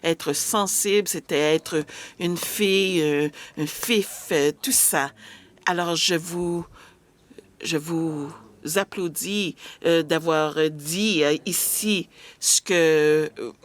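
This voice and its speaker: Slow, low-voiced woman interpreting hesitantly. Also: a bit bright. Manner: hesitant, frequent filler sounds and restarts. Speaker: simultaneous interpreter at a panel. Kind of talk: live interpretation of a panel discussion.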